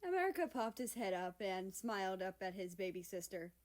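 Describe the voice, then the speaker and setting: A woman's voice: mid-range voice, very animated, brisk. A woman narrating a scripted story as its reader. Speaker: fan fiction reader, narrator.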